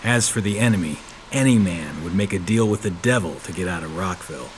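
A man playing a big, tough American ex-convict private investigator in a hardboiled period audio drama, calm, understated and deadpan. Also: sits low, a little fast, slight background noise, natural voice. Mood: guarded, modest.

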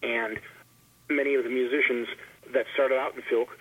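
Male panelist, husky, rambling and repetitive casual talker, heard down a thin phone line. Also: level tone, normal speed. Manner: rambling, repeats words as he goes. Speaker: panelist, long-time filk musician. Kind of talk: roundtable discussion, casual anecdotes. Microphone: telephone call. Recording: tinny phone line, husky low fidelity.

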